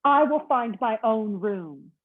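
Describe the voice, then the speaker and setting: Mom doing a grumpy tired hotel guy voice, squeaky and fed up, sounds like a phone recording. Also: lively intonation, a little slow. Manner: cranky, indignant, raised voice. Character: grumpy sleepy male hotel guest. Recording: tinny phone-like audio.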